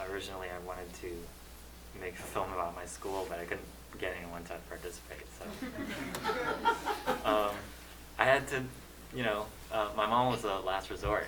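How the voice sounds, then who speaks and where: A man's voice: low-pitched, flat delivery, moderate pace. A twenty-three-year-old man on a film panel, joking and laughing at himself between fillers. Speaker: panelist filmmaker. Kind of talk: casual panel answer. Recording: distant mic, loud noise.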